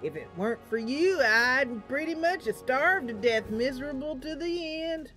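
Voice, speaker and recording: A woman's voice: high-pitched, lively intonation, normal speed, voice pushed up. Woman acting folksy eccentric old man, music behind. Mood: rueful, confiding. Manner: rambling, folksy, theatrical with chuckles and sighs. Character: eccentric, cheerful old male herbalist, rustic and folksy. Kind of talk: game roleplay voice acting. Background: game music.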